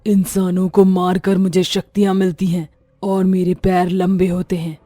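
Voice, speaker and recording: A woman playing a boastful, menacing man-eating witch in a horror story, taunting theatrically with drawn-out threats. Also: fairly low pitch, little pitch movement, warm tone, no room sound, lightly acted. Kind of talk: dramatized Hindi horror story acting.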